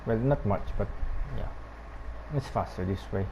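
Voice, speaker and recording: A man's voice: on the low side, normal speed, background noise. A man giving casual, laid-back gameplay commentary in short, muttered reactions. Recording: game music behind the voice.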